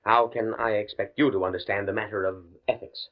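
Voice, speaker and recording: An actor playing an anxious, principled professor in 1950 radio drama style, hesitant, stammering and earnest. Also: male voice, on the low side, fairly even intonation, brisk, natural voice. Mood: strained defiance. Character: anxious, principled male professor trapped by blackmail. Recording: muffled old radio recording.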